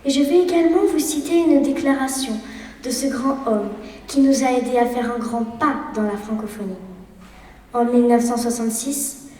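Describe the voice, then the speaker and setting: A woman's voice: fairly high pitch, normal speed, warm tone. Far-off girl's speech in an echoey hall. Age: child of 11. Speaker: student speaker in a speech contest. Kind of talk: recited contest speech. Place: school hall.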